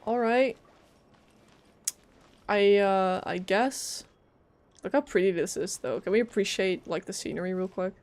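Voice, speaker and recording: A woman's voice: mid-range voice, a little slow, pauses often, darkish tone. A woman giving casual live gameplay commentary, thinking aloud and often questioning what she sees. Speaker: game streamer. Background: faint game music.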